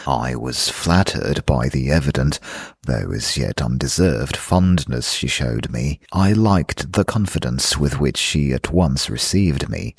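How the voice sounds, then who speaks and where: Very deep gravelly man narrating gothic horror. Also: no room sound, studio quality. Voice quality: gravelly, husky low voice. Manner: slow, theatrical, solemn delivery. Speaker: audiobook narrator. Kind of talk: dark gothic audiobook narration.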